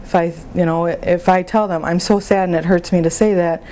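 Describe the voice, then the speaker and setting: A woman playing a grieving young mother in a support phone conversation, speaking haltingly and plainly about her feelings. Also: fairly low pitch, fairly even intonation, warm tone, lightly acted. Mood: sad, hesitant. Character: grieving young mother, sad, exhausted, openly hurting. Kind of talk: role-played counseling phone conversation.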